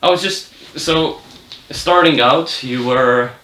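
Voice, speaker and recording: A man's voice: medium pitch, takes it slowly, pushed and bright. A man interviewing an older guest, curious and prompting with short questions. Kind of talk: casual interview. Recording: roomy room sound.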